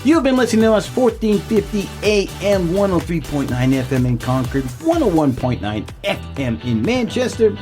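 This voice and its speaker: A man's voice: high voice, sing-song, moderate pace, hardly any pauses, darkish tone, faint music behind. A man hosting a radio show in a conversational, unscripted way.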